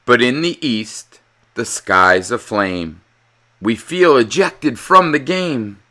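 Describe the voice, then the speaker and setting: A man giving an expressive, dramatic read-aloud in the manner of a children's storyteller. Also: medium pitch, slow pace. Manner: animated, dramatic delivery.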